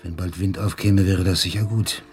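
An actor playing a dutiful, uneasy ship's lieutenant in a radio drama, formal and deferential. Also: male voice, deep voice, fairly even intonation, moderate pace, soft background music, close to an everyday voice. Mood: uneasy, respectful. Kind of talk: German radio drama acting.

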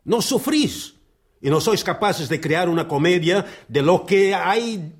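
A haughty, bitter writer. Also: male voice, sits high, lively intonation, unhurried. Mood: bitter, declaiming. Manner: haughty, declamatory. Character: bitter, haughty Spanish writer, scornful and world-weary. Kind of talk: radio play acting.

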